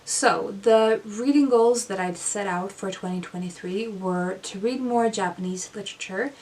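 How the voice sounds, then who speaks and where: A woman's voice: medium pitch, recorded from a distance. A young woman whose first language is Swedish, talking about her reading in a thoughtful casual vlog, with frequent ums and self-corrections, recorded at home in front of bookshelves. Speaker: book vlogger. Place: indoors, small room with bookshelves.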